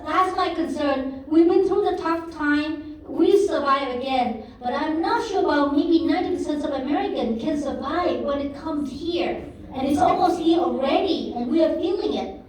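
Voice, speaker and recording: A woman from Vietnam with a Vietnamese accent speaks in earnest, impassioned, somewhat broken English. Her voice sits high. She is heard from a distance in a strongly echoing room. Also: unhurried. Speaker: audience member asking a question. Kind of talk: town hall question from the floor. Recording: echoey room, far from the mic.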